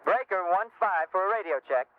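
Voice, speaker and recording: A man's voice: high voice, expressive, a little fast, slight background noise. A man playing an easygoing, sociable CB hobbyist, speaking folksy slang through a radio filter. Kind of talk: scripted CB radio role-play. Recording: tinny band-limited CB radio.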